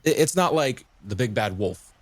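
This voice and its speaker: A man explaining folklore in casual conversation as a podcast guest, haltingly rephrasing and repeating himself as he goes. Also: mid-range voice, expressive, dry recording.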